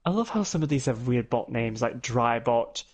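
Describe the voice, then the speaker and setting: A man's voice: medium pitch, lively intonation, moderate pace, darkish tone. A man with a British accent bantering casually and swearing as he plays an online game with friends. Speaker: player in online game group. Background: faint game music.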